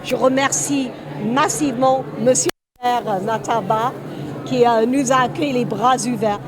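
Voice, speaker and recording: Female city delegate from France, notably high pitch, enthusiastic press remarks, busy outdoor crowd around her. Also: fairly even intonation, measured pace. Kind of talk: press statement.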